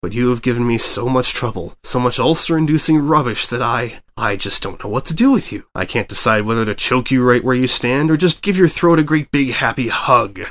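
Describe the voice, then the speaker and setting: A man voicing a furious, frazzled mare who edits a newspaper, shouting and fuming at her reporter in a fanfic audiobook reading. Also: medium pitch, a little fast, few pauses, natural voice. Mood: menacing.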